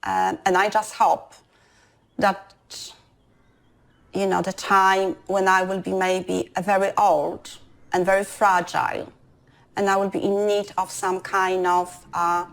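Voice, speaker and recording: A woman's voice: medium pitch, flat delivery, takes it slowly, vocal fry. A woman from Poland with a Polish accent, speaking reflectively in a documentary interview.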